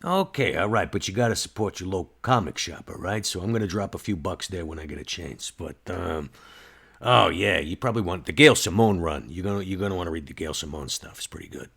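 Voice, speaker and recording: A very low, husky male vampire in a tabletop game, acted by a man. He is casual and protective, handing out easygoing fatherly advice like an older comic fan. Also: fairly quick, fairly bright tone, full character voice. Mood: friendly advising.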